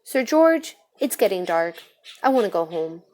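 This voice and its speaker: A woman voicing a gentle, discouraged seven-year-old boy dragon in a children's storybook, plain and downcast. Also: mid-range voice, very animated, normal speed, natural voice. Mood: subdued.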